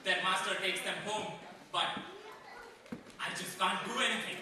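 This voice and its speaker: A man's voice: sits high, fairly even intonation, normal speed, crowd noise, voice pushed up, close to an everyday voice. A man performing a stage monologue as a proud, aggrieved Rottweiler, theatrical and self-justifying. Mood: aggrieved storytelling. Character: proud, aggrieved Rottweiler dog pleading his case. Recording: roomy, distant mic, faint noise.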